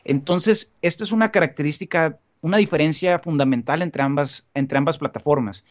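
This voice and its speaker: A man's voice: fairly high pitch, normal speed, muffled recording. A man in casual podcast conversation as a guest, talking earnestly and rambling with frequent restarts and fillers. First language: Spanish.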